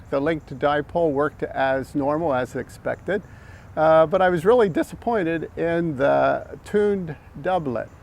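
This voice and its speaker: Older american guy, gravelly, kinda hesitant casual vlog talk, some noise outside behind him. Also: fairly high pitch. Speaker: amateur radio operator and vlog host. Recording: outdoor background noise.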